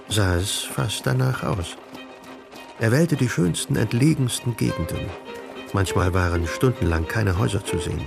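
Low, creaky male radio drama narrator.

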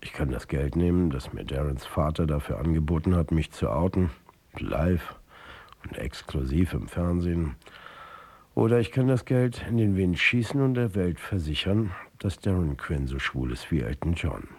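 A man's voice: deep voice, lively intonation, normal speed, a little mellow, slightly rough, heavily acted. An actor playing a wry, defiant male football coach in a radio drama, with a dry, conversational delivery. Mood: wry, reflective. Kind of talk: German radio drama acting.

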